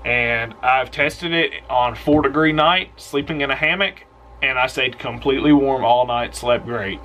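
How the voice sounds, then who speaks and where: Bright-voiced young man casually recommending gear. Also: mid-range voice, a little slow, slight background noise. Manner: relaxed, rambling, enthusiastic with trailing asides. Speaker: outdoors channel host. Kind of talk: casual product recommendation vlog. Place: small indoor room.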